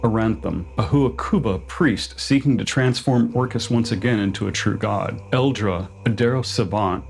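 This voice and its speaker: Guy with a lowish voice doing scripted narration for his explainer channel. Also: a little mellow. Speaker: host of a tabletop RPG lore channel.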